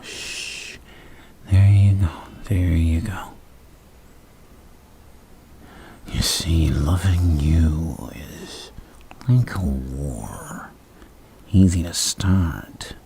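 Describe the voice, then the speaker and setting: Creepy flirty villain for asmr roleplay, super slow gravelly half whisper, teasing and unhinged, bit of hiss behind. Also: male voice, extremely low pitch, warm tone, full character voice. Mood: coaxing, tipsy. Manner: slow, teasing, drawn-out delivery with murmured hums.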